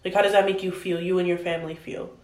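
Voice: mid-range voice, level tone, fast. Young interviewer, lots of ums, roomy echo. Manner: hesitant, many ums. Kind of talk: casual interview questions. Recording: echoey room.

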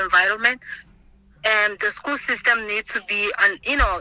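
A woman's voice: medium pitch, pushed and bright, dry recording, rough recording. A woman, answering oral history questions earnestly over a remote call. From Senegal. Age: 20s. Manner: earnest, thoughtful, with frequent ums and restarts. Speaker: interviewee, student. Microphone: remote video call.

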